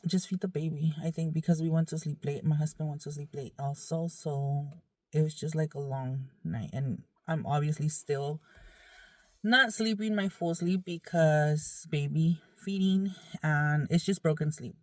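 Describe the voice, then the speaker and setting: A woman's voice: low-pitched, unhurried, dry recording, clean studio sound. A woman vlogger talking casually to her viewers in a candid, rambling, conversational way.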